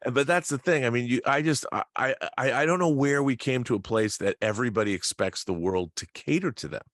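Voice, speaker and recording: A man's voice: medium pitch, quick pace, fairly bright tone, no room sound. A middle-aged man hosting a casual, opinionated chat, talking emphatically and often stammering over repeated words. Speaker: talk show host.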